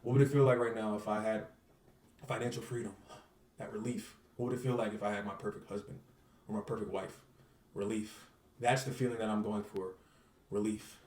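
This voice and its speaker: A man's voice: on the low side, fairly even intonation, a little fast, darkish tone, far from the mic. An earnest man talks in a casual interview, circling back over his points and checking in with the listener. He sits back from the microphone, and the room throws an echo around his voice. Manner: earnest, repetitive, uses filler phrases. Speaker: manifestation coach, guest.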